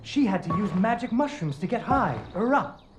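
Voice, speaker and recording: A playful dad narrating a fairy tale for his little girl in a video game. His delivery is expressive, with a very lively pitch that swoops up and down. Guitar music plays behind him. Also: moderate pace. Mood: playful storytelling. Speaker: storyteller. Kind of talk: animated bedtime-story narration in a video game.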